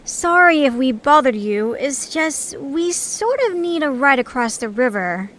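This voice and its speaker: A woman's voice: sits high, big pitch swings, unhurried, a bit bright, exaggerated voice. A woman voicing a bossy, sarcastic, short-tempered female creature in an audio story, with a snappy manner. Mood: matter-of-fact.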